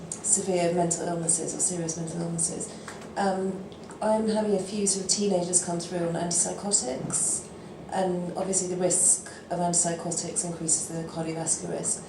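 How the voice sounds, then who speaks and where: A woman doctor puts a question to the speaker from the audience, hesitating with fillers as she goes. Her voice sits a little low. The room is echoey, and clear background noise sits under her. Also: fairly even intonation, normal speed, few pauses. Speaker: general practitioner asking a question.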